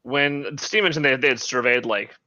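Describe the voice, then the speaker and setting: A man's voice: medium pitch, moderate pace, pushed and bright, no room sound, highs cut off. A man in a casual podcast discussion, giving his opinions in a rambling, hedging way. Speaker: podcast co-host.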